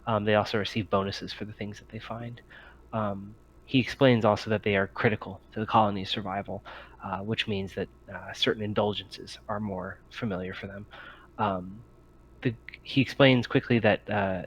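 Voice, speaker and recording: A man narrating a tabletop game as game master, in a halting, hesitant way with many pauses. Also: lowish voice, fairly even intonation, slightly rough, low-quality recording.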